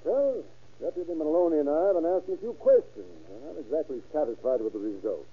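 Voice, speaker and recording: An actor playing a hard-nosed, impatient sheriff questioning people in an old-time radio crime drama. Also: male voice, fairly high pitch, expressive, quick pace, husky. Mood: pressing. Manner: blunt, pressing, folksy. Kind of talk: 1930s-1940s radio acting. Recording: scratchy, tinny old radio recording.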